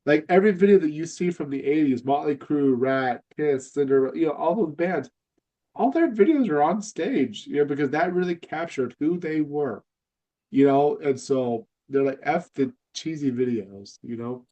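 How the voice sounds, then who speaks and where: A man's voice: fairly high pitch, moderate pace, warm tone, highs cut off. Congested nasal man chatting casually. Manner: rambling, lots of fillers and restarts. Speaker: podcast host. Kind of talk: casual fan chat.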